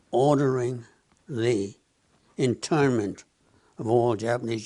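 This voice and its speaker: An elderly man in his nineties speaks at a very unhurried pace, measured and deliberate. His pitch sits in an ordinary middle range as he tells his memories in an interview. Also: creaky, darkish tone. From United States. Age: 90s. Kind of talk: documentary interview recollection.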